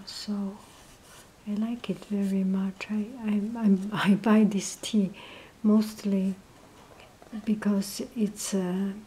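Tired woman half whispering, mellow and flat, lots of uhs and pauses. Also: slight background noise, low-quality recording. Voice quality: soft low half-whispered voice. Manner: hesitant, many pauses and fillers. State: low on energy. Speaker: tea vlogger. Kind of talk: casual show-and-tell narration. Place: indoors at a table, off camera.

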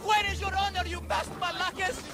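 High, theatrical would-be hero. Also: male voice, little pitch movement, brisk, loud music behind the voice. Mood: taunting bellows. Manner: theatrical, bombastic, grandiose declarations. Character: blustering man in Minotaur mask, mock-heroic, devoted father. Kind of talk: dramatic video game voice acting.

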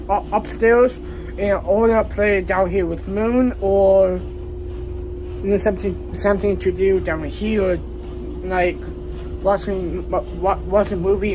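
A man in his early twenties chatting casually to a vlog audience in a halting, rambling way, often repeating words and short phrases. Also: high voice, noisy background. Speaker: vlogger. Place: small laundry room beside a kitchen at home, indoors. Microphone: phone at arm's length.

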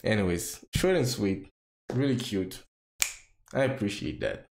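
Animated man, gravelly and expressive. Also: lowish voice, measured pace. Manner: expressive, repeats phrases for emphasis. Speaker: reaction video creator. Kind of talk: casual video reaction commentary. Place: small indoor home room. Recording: roomy sound with music behind. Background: game trailer music.

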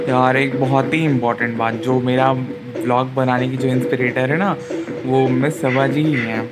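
A man, chatting informally as he narrates a casual vlog tour. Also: mid-range voice. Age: 20s. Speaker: vlogger.

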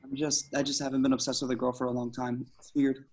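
A man acts out an awkward young slacker, smitten and a little dim. He speaks haltingly at a medium pitch, tripping over his words with nervous earnestness, as in a casual movie script read-through. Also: little pitch movement, natural voice. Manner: halting, stammering, hesitant. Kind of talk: amateur screenplay table reading. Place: online group video call.

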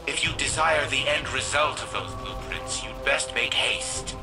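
An actor playing an arrogant old scientist's AI in a video game, speaking with pompous, formal condescension. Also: male voice, medium pitch, a little slow, lightly acted, poor audio. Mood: stern, demanding. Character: arrogant old male scientist's AI, imperious and disdainful. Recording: game audio under loud music.

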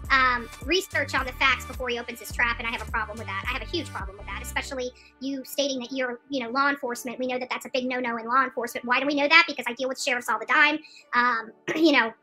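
Woman streamer going off, scolding, high piercing voice, talks fast, bit of music under it. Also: bright, forceful tone. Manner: confrontational, scolding. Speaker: livestream channel host. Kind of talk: indignant livestream rant to viewers. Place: small room indoors, seated on a couch.

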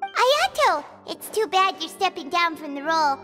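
A woman voicing a tiny, childlike, cheerful fairy companion in a video game, squeaky and eager. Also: sing-song, moderate pace, over music. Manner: squeaky, refers to self by name.

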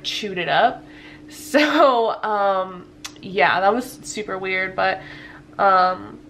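A woman's voice: mid-range voice, a bit bright, a little hiss or hum. A woman in her twenties chatting casually to camera in a home vlog, a little stuffy-sounding, with lots of fillers and little laughs. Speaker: lifestyle vlogger. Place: living room at home.

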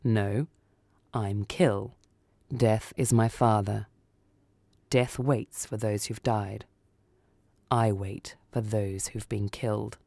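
A man voicing a calm, menacing son of Death in a story, grave, lucid and deadpan. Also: lowish voice, slow, gravelly, pauses often, dry recording, full character voice. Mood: cold, patient.

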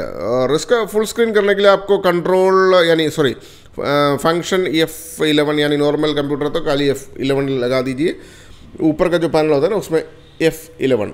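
A man demonstrating software step by step in a casual tutorial, often calling viewers friends and checking in with them as he goes. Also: on the high side. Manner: repetitive, addresses viewers as friends, many fillers. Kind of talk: screen-recorded software tutorial.

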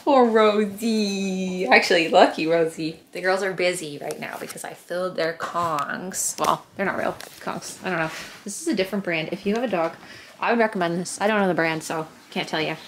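A woman's voice: fairly low pitch, normal speed. A young adult woman talking casually as a vlogger, recorded on a handheld camera or phone. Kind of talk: casual chatty vlog talk. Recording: noisy handheld camera, household and car noise.

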